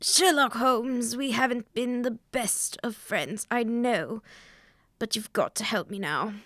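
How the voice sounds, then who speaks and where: A woman playing an agitated wealthy gentleman, urgent and formal, in an old-fashioned detective radio drama. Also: on the high side, takes it slowly, no echo, studio quality. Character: Lytton Stanley, agitated wealthy gentleman, robbed rival.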